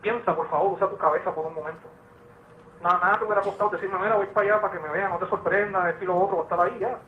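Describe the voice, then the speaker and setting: Rapid high-voiced man on tinny jail phone. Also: steady tone. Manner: scolding, insistent. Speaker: jail inmate on a phone call. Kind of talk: tense private phone argument. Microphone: telephone. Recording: tinny noisy echoey jail phone line.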